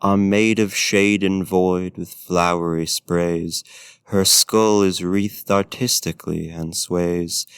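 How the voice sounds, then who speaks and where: Courtly, seductive male vampire played by a man for ASMR roleplay: low register, unhurried, genteel and literary. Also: dry recording, studio quality. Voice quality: smooth, deep. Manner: formal, genteel, theatrical phrasing. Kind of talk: ASMR roleplay, intimate talk and poetry reading.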